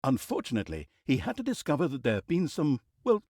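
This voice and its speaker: A man's voice: mid-range voice, huge pitch swings, fast, darkish tone, no echo, studio quality. A man playing a sardonic, mock-grand storyteller who narrates a comic fantasy game with dramatic, tongue-in-cheek delivery and an easily exasperated temper.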